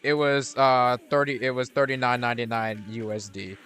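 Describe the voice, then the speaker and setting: A man's voice: medium pitch, flat delivery, normal speed, a bit bright, soft background music. A young man streaming a video game, chatting casually and rambling with restarts and fillers. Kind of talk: casual gameplay commentary.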